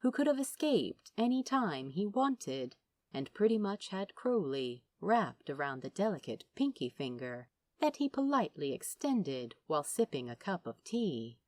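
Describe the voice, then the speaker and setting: A woman narrating a story in a lowish voice that moves expressively up and down. Her reading is scripted, wry and dramatic, taken at an unhurried pace. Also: very animated, darkish tone, dry recording. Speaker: audiobook-style narrator.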